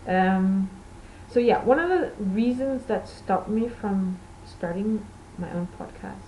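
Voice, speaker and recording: A woman's voice: mid-range voice, slow, mellow, a little hiss or hum, poor audio. A woman from Portugal, a native Portuguese speaker, hosting a knitting podcast in rambling, self-correcting casual chat.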